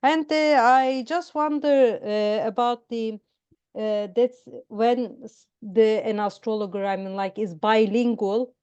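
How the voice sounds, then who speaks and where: Smooth high-voiced woman, dull dry recording. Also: takes it slowly, darkish tone, dry recording. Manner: tentative, roundabout questions padded with "I mean, like". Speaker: interview host. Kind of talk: casual chat. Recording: close dry mic, dull lo-fi sound.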